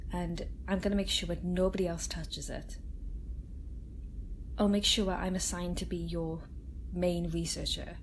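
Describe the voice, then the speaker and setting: Slow, soft-spoken kind scientist played by a woman. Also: lowish voice, poor audio. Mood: determined, reassuring. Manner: gentle, hesitant, confiding, slow and deliberate. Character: kind, caring young female research scientist, earnest and protective. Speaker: voice actor in an audio roleplay. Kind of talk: soft-spoken ASMR roleplay. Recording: steady sci-fi ambience noise behind voice. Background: sci-fi laboratory ambience.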